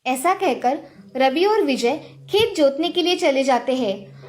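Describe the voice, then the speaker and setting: A woman narrating a scripted children's story in an expressive storytelling manner. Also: high voice, unhurried.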